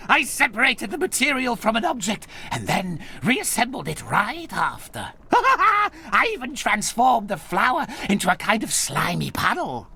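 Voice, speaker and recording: A nasty scheming wizard for a cartoon game, croaky n forceful, rants with loads of contempt. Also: male voice, very high voice, huge pitch swings, measured pace, hardly any pauses, exaggerated voice. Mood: gloating, scornful. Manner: theatrical, contemptuous ranting. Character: evil middle-aged male wizard, irascible and scheming. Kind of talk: animated game cutscene acting.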